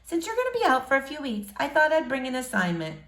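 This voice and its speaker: A woman's voice: high-pitched, huge pitch swings, fast, fairly bright tone, roomy sound, pitched above the performer's own voice, heavily acted. A woman voicing a proper, wry and encouraging woman teacher in a storybook reading, with formal phrasing. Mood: bright, polite.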